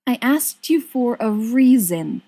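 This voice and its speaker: A woman voicing an earnest, hurt young fiancée in an audiobook, halting and then insistent. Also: fairly high pitch, lively intonation, mellow, pitched above the performer's own voice, full character voice. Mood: earnest, frustrated.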